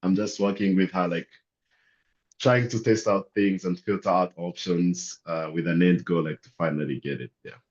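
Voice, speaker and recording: Very deep-voiced man on a muffled call. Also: fairly even intonation, normal speed, a little mellow. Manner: casual, filler-heavy, trailing off. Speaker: current MBA student panelist. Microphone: video call. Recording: dull lo-fi video-call audio.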